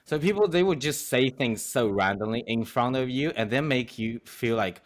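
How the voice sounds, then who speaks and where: Chinese-born guy, casual chat in second-language english, lively, laughs a lot, mid pitch. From China. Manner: lively, laughs often, halting non-native English. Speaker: guest artist.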